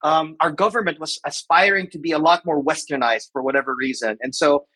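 Male podcast guest, forties, bright forward voice, slightly high, casual chat. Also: steady tone, fairly quick, husky. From Philippines. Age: 40s.

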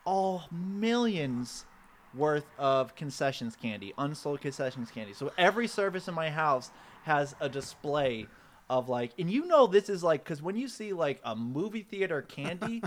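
A man co-hosting a podcast in casual comedic chat, with an excitable, rambling, playful way of talking. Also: on the high side, normal speed. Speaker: podcast co-host.

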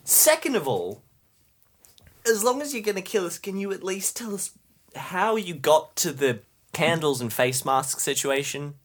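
A man's voice: fairly high pitch, lively intonation, unhurried. A man playing a flustered captive adult in improvised comedy, stammering and repeating himself with exasperated sarcasm. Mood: indignant.

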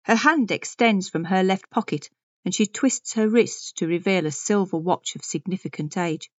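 A woman's voice: medium pitch, moderate pace, no echo, highs cut off, close to an everyday voice. A woman playing a fussy, haughty, anxious older woman in a dramatic audio monologue, with a pompous, self-important way of repeating herself. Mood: haughty, indignant. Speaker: audio story reader. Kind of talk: dramatic first-person monologue reading.

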